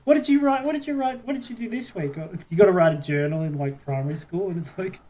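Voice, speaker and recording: A man's voice: high-pitched, fast talker, mellow, poor audio. A man co-hosting a podcast in casual, joking banter, giggly and often restarting his phrases. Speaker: podcast co-host. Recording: clear background noise with clicks.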